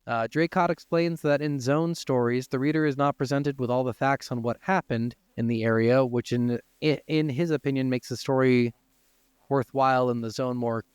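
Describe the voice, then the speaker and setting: A man's voice: mid-range voice, fairly even intonation, normal speed, clear, resonant tone, no room sound, clean studio sound. A man hosting a casual gaming podcast, moving between informal, sweary chat and reading from notes. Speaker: podcast host.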